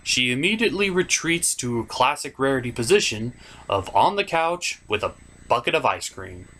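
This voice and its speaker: A man's voice: mid-range voice, sing-song, moderate pace, sharp tone. A man hosting a cartoon review, delivering scripted comedic narration in a wry, self-deprecating way.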